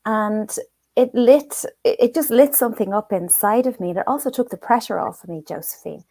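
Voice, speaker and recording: A middle-aged woman with an Irish accent, a coach telling her story as a guest in an animated, expressive way. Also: fairly high pitch, fairly quick, dry recording. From Ireland. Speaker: guest, personal development coach. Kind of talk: conversational interview.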